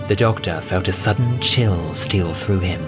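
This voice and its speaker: Male narrator, fairly low pitch, scripted audio fiction read over a clear music bed. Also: measured pace. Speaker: narrator, voice actor.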